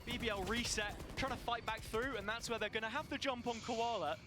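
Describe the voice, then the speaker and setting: A man doing brisk play-by-play esports commentary, lifting his energy on key plays. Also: very high voice, over music. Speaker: esports caster. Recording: broadcast audio replayed through a stream, noisy.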